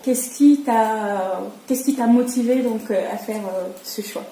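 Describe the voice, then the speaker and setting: Woman numerologist, higher voice, mellow tone, halting questions, echoey room. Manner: calm, halting questions with fillers. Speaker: numerologist interviewer. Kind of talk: casual interview chat.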